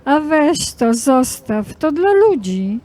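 A woman's voice: sits high, sing-song, takes it slowly, dark tone, heavily acted. A woman playing a bossy, practical goddess in an amateur radio play, with a scolding manner.

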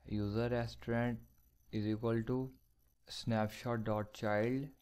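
A man teaching programming in a screencast, slowly dictating code step by step with fillers. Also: mid-range voice, little pitch movement, a little mellow, clean tone. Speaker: programming tutor. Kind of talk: screencast coding tutorial.

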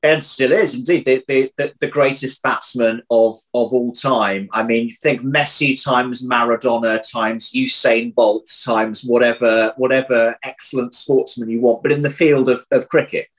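A man in his thirties chatting casually as an interview guest over a video call, often pausing, repeating words and correcting himself as he thinks. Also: medium pitch, fairly even intonation, rough recording. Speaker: interview guest, audio drama writer. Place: indoor room at home. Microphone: video call, computer mic.

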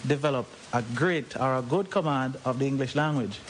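A man's voice: mid-range voice, fairly quick. A man with a Jamaican accent interviewing a musician for radio, probing and often restarting his questions. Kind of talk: casual interview chat. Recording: noisy old interview tape.

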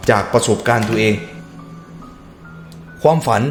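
A man's voice: lowish voice, measured pace, faint music behind. A man hosting a reaction video, reading text aloud and breaking off into casual musing remarks and hums.

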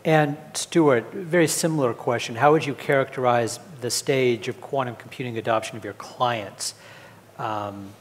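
A man's voice: mid-range voice, moderate pace. Guy moderating a panel, asking questions, echoey hall, bit of background noise. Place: on stage in a large conference hall.

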